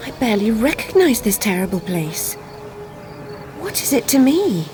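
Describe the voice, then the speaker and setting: An actress playing a somber, defiant young woman, bitter and questioning, in a dark fantasy video game. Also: a little high, very animated, normal speed, music in the background. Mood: bitter, troubled.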